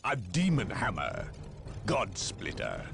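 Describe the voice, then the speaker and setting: An actor playing a wise, commanding warrior inquisitor in a video game, with a formal, measured, authoritative manner. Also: male voice, on the low side, wildly swooping pitch, vocal fry, a bit bright, a little hiss or hum, exaggerated voice. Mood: earnest, solemn. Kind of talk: dramatic video game dialogue.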